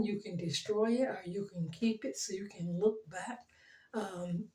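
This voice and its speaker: A middle-aged woman talking earnestly to her viewers, her voice worn and fading, pausing often. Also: medium pitch, roomy sound, rough recording. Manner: earnest, gentle, pauses with ums and soft laughs. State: losing her voice. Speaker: channel host. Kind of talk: heartfelt motivational talk to camera.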